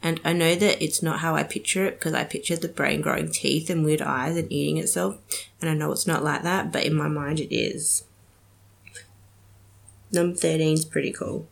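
Low, gravelly-voiced young woman podcaster. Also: steady tone, normal speed. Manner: chatty, giggly, many ums. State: slightly nasal from a cold. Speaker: podcast host. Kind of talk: casual solo podcast chat.

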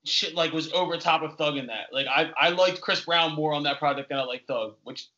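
A man interviews a guest on a podcast. His voice is very bright, sharp and fairly high, and he talks in a loose, profane, rambling way. The audio sounds dull and lo-fi. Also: fairly quick. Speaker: podcast host. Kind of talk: casual interview chat.

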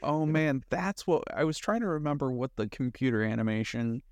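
A man's voice: mid-range voice, expressive, a little fast, a little mellow, no room sound. A man co-hosting a casual chat about movies, thinking aloud in loose, conversational asides. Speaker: podcast co-host.

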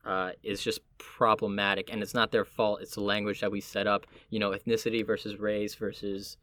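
A man from Miami with a Puerto Rican family, talking casually and reflectively, often halting as he searches for words. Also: fairly low pitch, flat delivery, pushed and bright, slight background noise.

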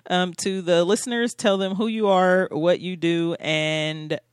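Clear-voiced woman hosting a podcast. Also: fairly low pitch, steady tone, no echo, clean studio sound. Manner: halting, hesitant when asking questions. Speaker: podcast and radio show host. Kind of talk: casual conversational interview.

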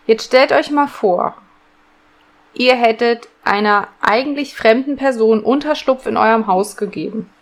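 A woman's voice: a little high, a little slow. A woman co-hosting a podcast, chatting casually and picking at the logic with questions. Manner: questioning, picks at plot logic. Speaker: podcast co-host. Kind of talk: casual discussion of an audio drama.

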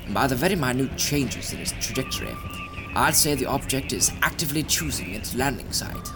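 A man playing an eccentric older gentleman scientist in an audio drama, genteel, deliberate and faintly pompous, with a British accent. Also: medium pitch, lively intonation, over music, lightly acted. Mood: grave, assured.

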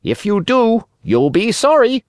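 A man voicing an earnest little storybook blackbird, urgent and sharp as it gives a warning. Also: extremely high pitch, sing-song, a little slow, no room sound, voice pushed up, exaggerated voice.

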